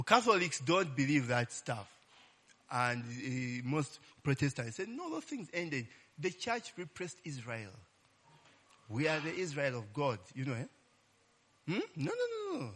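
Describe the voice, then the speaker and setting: A man's voice: mid-range voice, fairly bright tone. A man preaching a casual Bible-teaching sermon, often ending phrases with "eh?" and crying "Glory be to God". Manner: call-and-response, frequent "eh?" tag questions; exclaims "Glory be to God" and "Hallelujah".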